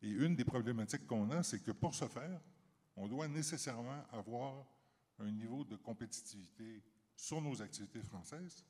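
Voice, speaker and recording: A middle-aged man from Canada, giving measured, formal panel remarks with occasional hesitations. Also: medium pitch, a little mellow. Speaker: company chief on a panel. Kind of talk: prepared panel remarks, then answering questions. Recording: reverberant conference hall.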